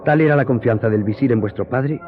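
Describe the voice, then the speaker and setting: An actor in a radio drama playing an earnest friend who recounts a hearing in formal, measured storytelling. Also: male voice, mid-range voice, over music, natural voice. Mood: grave, earnest. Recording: tinny, narrow-band audio.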